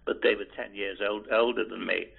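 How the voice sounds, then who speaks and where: Creaky old man on a phone line. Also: lowish voice, steady tone. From Liverpool, England. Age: 80s. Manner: hesitant, halting, with many restarts. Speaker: oral history interviewee. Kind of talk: rambling reminiscence. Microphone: telephone.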